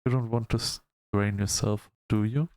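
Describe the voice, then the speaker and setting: A man hosting a gaming video, reading story text aloud in a halting, measured storytelling delivery. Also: lowish voice, fairly even intonation, gravelly, darkish tone, no echo. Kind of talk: let's-play narration, reading game text aloud.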